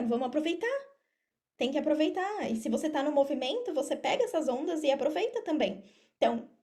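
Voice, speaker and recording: A Brazilian woman with a clearly high voice speaks as a guest on a podcast. She explains things in a casual, conversational style, often addressing her listeners directly. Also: darkish tone. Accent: Brazilian Portuguese. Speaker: podcast guest, affiliate marketer and mentor. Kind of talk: casual interview talk.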